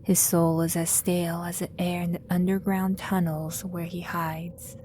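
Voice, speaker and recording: A woman narrating a scripted audio drama in a measured storytelling manner. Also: lowish voice, little pitch movement, mellow, a little hiss or hum.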